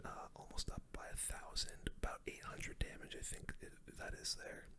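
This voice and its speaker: Whispering ASMR gamer. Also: normal speed. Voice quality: breathy unvoiced whisper. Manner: calm running play-by-play, muttering. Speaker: gaming streamer. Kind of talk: whispered ASMR game commentary. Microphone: close microphone.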